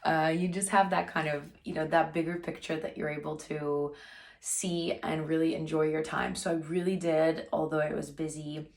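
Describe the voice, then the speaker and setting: A woman in her thirties with a slightly raspy voice, chatting casually to camera as a vlogger, with frequent "like" fillers. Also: low voice, flat delivery, moderate pace, darkish tone.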